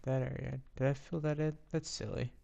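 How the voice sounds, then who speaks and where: A man with a raspy voice giving casual live gameplay commentary, rambling and thinking aloud in a self-deprecating, playful way. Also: medium pitch, steady tone, fairly quick, mellow, highs cut off. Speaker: game streamer.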